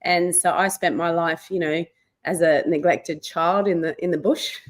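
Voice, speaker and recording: A woman's voice: lowish voice, fairly even intonation, normal speed. A woman, a guest recalling her childhood in a chatty way, with small hesitations. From Australia. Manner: chatty, warm recollection with hesitations. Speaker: guest, wildlife TV presenter. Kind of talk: interview storytelling.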